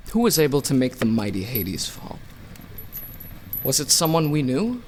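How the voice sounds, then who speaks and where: A man's voice: medium pitch, sing-song, unhurried, slight background noise. A man playing a vengeful king of the gods in a radio play, taunting and theatrical. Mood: gloating, taunting. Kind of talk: audio drama acting.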